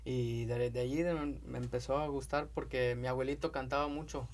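A man's voice: medium pitch, fairly even intonation, moderate pace. A man, a musician chatting casually as an interview guest. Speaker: bajo quinto player, interview guest. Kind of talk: casual chat.

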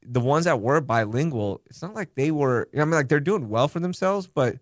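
A young man, born in Pakistan, hosting a casual podcast chat, telling candid, animated stories with some swearing. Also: on the high side, moderate pace, no echo, clean studio sound. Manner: candid, animated storytelling with profanity. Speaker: podcast host.

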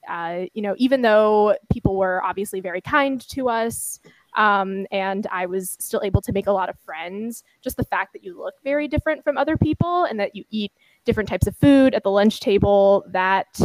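A woman's voice: medium pitch, normal speed, no echo, poor audio. Thoughtful young woman, dry sound. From Philippines. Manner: thoughtful, hesitant with many fillers. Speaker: podcast co-host. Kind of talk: casual podcast chat.

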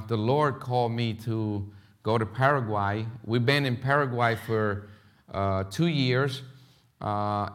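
A middle-aged man from Puerto Rico with a Philadelphia accent, giving his testimony as a missionary in a church, halting with many fillers and restarts. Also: on the low side, fairly bright tone.

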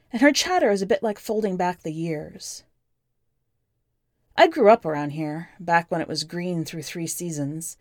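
Measured woman narrating fiction, dry recording. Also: fairly low pitch, darkish tone, no room sound. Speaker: podcast host and story narrator. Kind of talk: scripted first-person fiction narration.

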